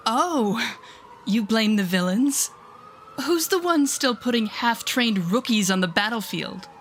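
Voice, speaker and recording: A woman acting a slow-spoken, sardonic telepathic villainess. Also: fairly high pitch, animated, a little hiss or hum. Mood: bitter, accusing. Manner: sardonic, deliberate, shifting to soothing whispers. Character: telepathic female supervillain, bitter yet tenderly protective mentor. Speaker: voice actor in audio roleplay. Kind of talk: dramatic audio roleplay acting.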